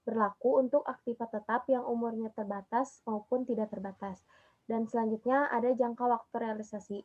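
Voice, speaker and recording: Young female student presenter, slightly high pitch, explanatory, muffled recording. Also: fairly even intonation, a little fast. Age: young adult. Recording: dull lo-fi audio.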